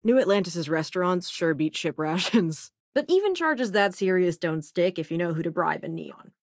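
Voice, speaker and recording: Wry, expressive young spacefarer. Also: female voice, on the low side, moderate pace, no room sound, clean studio sound. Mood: wry, casual. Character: wry, self-assured young female spaceship crew robotics researcher. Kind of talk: video game voice acting.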